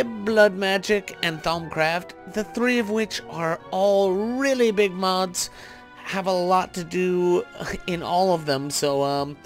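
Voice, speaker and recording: A man with a slightly high voice gives casual commentary for a gaming video. He sounds chatty and playful, thinking aloud as he goes. Faint music plays behind him. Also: a bit bright. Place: indoor voice-over recording over gameplay. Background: background music.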